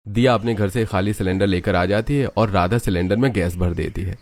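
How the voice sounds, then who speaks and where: A man narrates a story from a script. His voice sits on the low side, and he delivers it as a storyteller recounting events. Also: a little fast, darkish tone, no echo.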